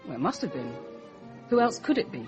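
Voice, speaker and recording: A woman's voice: mid-range voice, very animated, a little fast, over music, natural voice. An actress playing a composed, well-mannered English society woman, somewhat puzzled, in a radio drama. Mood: uncertain.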